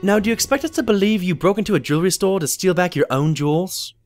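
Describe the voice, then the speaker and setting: A man's voice: high-pitched, animated, quick pace, soft background music. A man playing a polite but skeptical pony doctor, a detective's sidekick, in an audio drama. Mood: incredulous.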